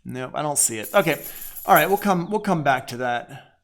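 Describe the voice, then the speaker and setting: A man's voice: a little high, expressive, normal speed, a bit bright. A man teaching audio production in a casual live online tutorial, thinking aloud informally as he works.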